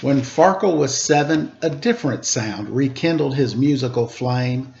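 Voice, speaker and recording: A man reading a rhyming children's picture book aloud in an expressive, playful, sing-song storytelling style. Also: medium pitch, measured pace, gravelly, a little hiss or hum. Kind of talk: animated read-aloud storytelling with rhyming verse. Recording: slightly dull, lo-fi home recording.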